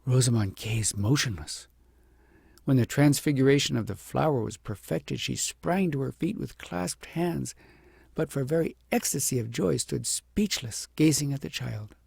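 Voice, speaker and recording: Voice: medium pitch, moderate pace, vocal fry, darkish tone, breathy edge, no echo. An audiobook narrator reading a fairy tale as scripted storybook narration.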